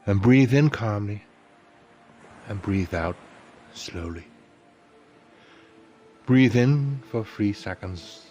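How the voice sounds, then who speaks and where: A man narrating a guided meditation in a soothing, deliberate way, leaving pauses between phrases. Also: on the low side, lively intonation, darkish tone, highs cut off, soft background music.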